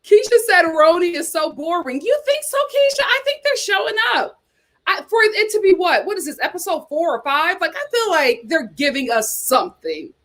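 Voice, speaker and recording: A woman's voice: very high voice, very animated, moderate pace, a bit bright. A young woman hosting a casual livestream, chatting and reading viewer comments, repeating herself as she talks. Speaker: YouTube host.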